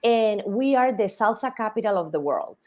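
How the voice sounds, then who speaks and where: A woman's voice: medium pitch, animated, moderate pace. Woman hosting a live-stream chat, easygoing and conversational, talking over a video call. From Colombia. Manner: warm, chatty, many "you know" fillers. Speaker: city council candidate hosting a live stream.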